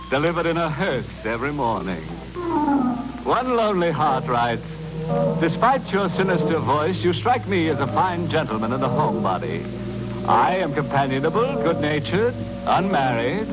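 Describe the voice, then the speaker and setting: A sinister, macabre host of an old radio horror show savors morbid jokes with mock cordiality. His slightly high voice swings widely and lingers slowly over the words, with loud music behind him. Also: rough recording. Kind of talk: 1940s radio horror hosting.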